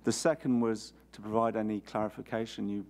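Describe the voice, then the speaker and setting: An older man giving formal testimony to a committee as an actuary, measured and hesitant with many restarts. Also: fairly low pitch, little pitch movement, a little mellow. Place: parliamentary committee room.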